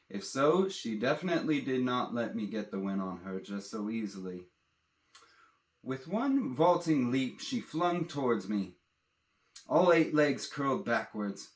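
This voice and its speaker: A man telling a fantasy adventure story in a dramatic, theatrical way, addressing listeners directly. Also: medium pitch. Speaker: storyteller and author reading his own fantasy tale. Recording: roomy echo.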